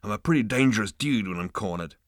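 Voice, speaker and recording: A man voicing a cocky, excitable alien hipster in an audiobook, brash and slangy with indignant exclamations. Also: fairly low pitch, fast talker, no echo, clean studio sound, close to an everyday voice. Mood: brash, agitated.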